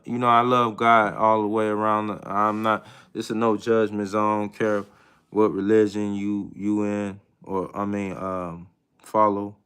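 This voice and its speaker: A man in his thirties hosting a casual live chat with viewers, talking in a hesitant, reflective way with many pauses and restarts. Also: fairly low pitch, fairly bright tone, clean tone. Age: 30s. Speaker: livestream host.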